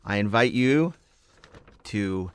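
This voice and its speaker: A man's voice: on the low side, expressive, takes it slowly, clean tone, no echo. A man hosting and moderating a casual podcast panel conversation.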